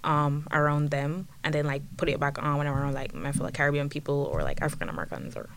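A woman's voice: sits low, fast talker, creaky, slight background noise. A young woman from Jamaica with a thick Jamaican accent, chatting animatedly on a student podcast. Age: young adult. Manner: animated, frequent "like" fillers. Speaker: podcast guest. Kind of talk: casual chat.

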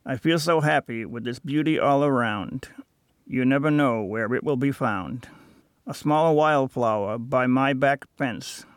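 An older man at a senior center reading his own rhyming poem, halting and self-amused at the start. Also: mid-range voice, no room sound, studio quality.

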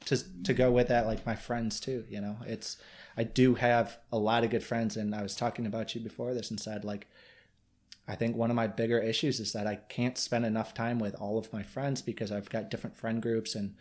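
A man's voice: lowish voice, level tone, fairly quick, a little mellow. Earnest man with a Yooper accent. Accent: Michigan Upper Peninsula. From Michigan. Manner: earnest, reflective, rambling. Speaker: guest. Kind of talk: casual interview chat.

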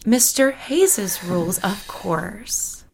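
An actress playing a prim, meddling secretary, solicitous and easily flustered, in a period audio drama. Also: female voice, mid-range voice, lively intonation, drawn-out words, creaky, darkish tone, a little hiss or hum, full character voice, poor audio. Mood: conspiratorial. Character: fussy, meddling, prim female secretary and minder.

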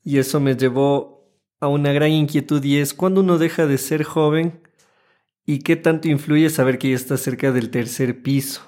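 A man's voice: on the high side, fairly even intonation, normal speed, a little mellow. A man in his thirties with an Ecuadorian Spanish accent, co-hosting a casual, conversational podcast. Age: 30s. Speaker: podcast co-host.

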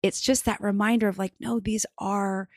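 A woman hosting a folklore podcast, interviewing her guest in an enthusiastic, thinking-aloud way that often climbs in pitch for emphasis. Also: level tone, moderate pace, darkish tone, dry recording, clean studio sound. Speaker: podcast host. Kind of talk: conversational interview.

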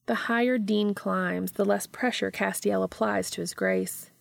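A woman's voice: medium pitch, steady tone, unhurried, gravelly, dry recording. A woman reading scripted fiction as an audiobook narrator.

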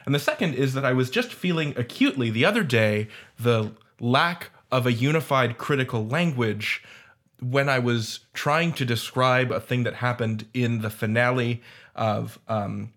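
A man's voice: mid-range voice, lively intonation, normal speed, a bit bright. Scholarly, wordy man on a panel. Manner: articulate, wordy, scholarly phrasing. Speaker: roundtable panelist, audio fiction creator. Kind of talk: academic panel discussion.

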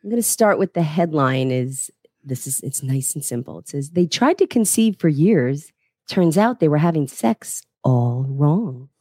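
A woman's voice: deep voice, lively intonation, a little slow, mellow, no echo, clean studio sound. A woman co-hosting a podcast, reading a news story aloud with amused, giggly commentary. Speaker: podcast co-host.

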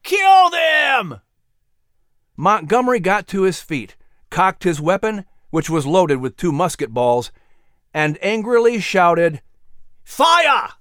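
A man hosting and narrating a story podcast in an expressive, theatrical style. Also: high voice, unhurried, clear, resonant tone, no echo, clean studio sound. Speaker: podcast host and audiobook narrator. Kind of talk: dramatic narration and lighthearted scripted hosting.

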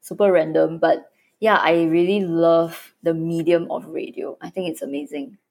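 Singaporean girl co-hosting a podcast, giggly and chatty, bit husky, talks like a friend. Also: lowish voice, normal speed, darkish tone. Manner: giggly, chatty, with Singlish particles. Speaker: podcast co-host. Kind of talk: casual chat.

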